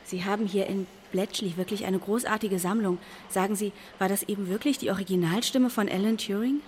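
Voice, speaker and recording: Curious female bioinformatician played by an actress, average pitch, chatty, radio drama, slight background noise. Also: fairly even intonation, fairly quick, darkish tone, lightly acted. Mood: curious, polite.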